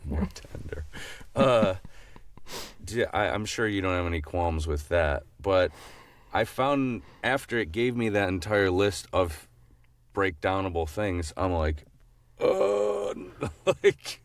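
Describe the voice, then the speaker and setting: A man with a low-pitched voice co-hosts a casual podcast chat. He is informal and jokey, often poking fun at himself, and the sound is very dry. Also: very animated, slow pace, creaky, dry recording. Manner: joking, informal, self-deprecating. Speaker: podcast co-host.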